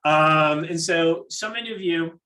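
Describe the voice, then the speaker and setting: A man with a slightly high voice leads an interactive workshop as its presenter. He talks conversationally and haltingly, doubling back on words as he explains, and often checks in with small tag questions. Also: pushed and bright. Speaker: program manager presenting a workshop. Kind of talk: interactive online workshop talk on pitching.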